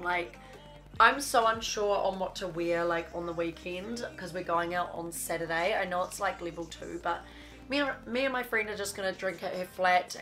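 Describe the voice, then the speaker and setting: Young new woman, stuffy nose from a cold, chatty and gushing, fills every pause with "like", small bedroom, faint music under her. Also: medium pitch, a bit bright. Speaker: fashion vlogger. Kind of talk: casual clothing haul. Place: small bedroom studio with shelves.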